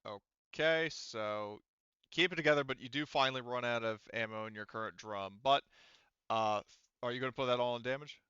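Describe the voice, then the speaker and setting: Bright, clear-voiced man running a game. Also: medium pitch, a little fast, no room sound, dull audio. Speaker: game master. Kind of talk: narrating tabletop roleplaying game, rules talk.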